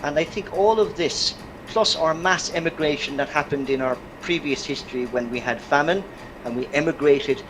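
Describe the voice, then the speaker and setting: A man with an Irish accent giving an informal, reflective academic presentation in measured phrases over an online call. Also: medium pitch. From Ireland. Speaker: university academic guest speaker. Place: video call. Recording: noisy online call audio.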